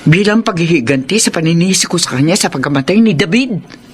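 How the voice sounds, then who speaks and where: Actress doing angry grieving old lola in a radio drama, accusing, voice jumps all over, bit of noise behind. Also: female voice, lowish voice, animated, fairly quick, natural voice. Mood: alarmed suspicion. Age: older. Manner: emotional, accusatory, agitated. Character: bitter, accusing grandmother grieving her son. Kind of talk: Filipino radio drama acting.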